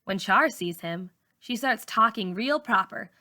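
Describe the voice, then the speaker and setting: Bright-voiced woman reading a story aloud. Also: mid-range voice, animated, unhurried. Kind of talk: expressive storybook narration.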